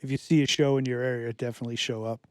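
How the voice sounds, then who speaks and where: A male musician guest talking casually in a radio studio, halting with many fillers and restarts. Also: mid-range voice, level tone, a little mellow, clean tone, dry recording.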